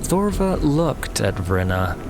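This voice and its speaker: A man narrating a fantasy audiobook in a dramatic, measured and solemn scripted style. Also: on the low side, sing-song, background noise. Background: music.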